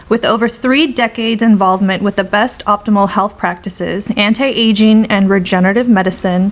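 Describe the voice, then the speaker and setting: A woman hosting a wellness podcast, reading a guest's introduction in an upbeat, eager way. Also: fairly high pitch. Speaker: podcast host.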